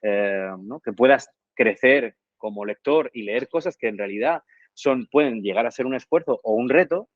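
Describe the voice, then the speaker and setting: A man answering radio interview questions in a reflective way, often pausing with fillers and tag questions. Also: mid-range voice, dry recording, phone-quality sound. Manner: thoughtful, frequent "eh" and tag questions. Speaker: guest, reading promoter.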